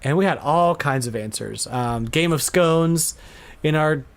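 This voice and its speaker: A man's voice: fairly high pitch, unhurried. A middle-aged man chatting casually as the host of a podcast. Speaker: podcast host and retro game store owner.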